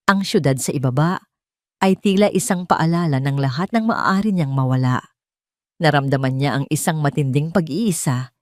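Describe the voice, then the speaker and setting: A woman narrating a scripted audio drama in a measured, emotive storytelling manner. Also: deep voice, a little mellow, no room sound, studio quality.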